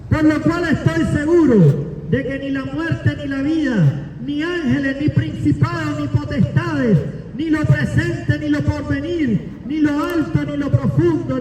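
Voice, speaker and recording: A man's voice: very high-pitched, distant mic, noisy background. A man, preaching a declamatory sermon and calling listeners to faith. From Cuba. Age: 40s. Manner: declamatory, builds up lists and rises to shouts. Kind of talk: sermon with scripture reading and altar call. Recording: echoey, long reverb, from afar.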